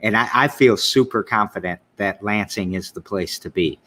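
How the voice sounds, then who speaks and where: A man's voice: fairly low pitch, moderate pace, bright, forceful tone. A man with a Michigan accent hosting a casual interview, talking enthusiastically and rambling through his thoughts. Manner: rambling, enthusiastic, trails off and restarts. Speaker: show host.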